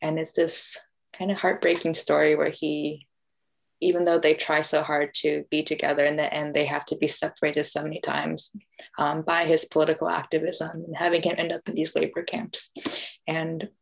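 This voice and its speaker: A low-pitched American woman with vocal fry speaks as an interviewed author. She explains things thoughtfully and haltingly, in a conversational style, and keeps her intonation fairly flat. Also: rough recording. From United States. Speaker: author and journalist being interviewed. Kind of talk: conversational book talk interview.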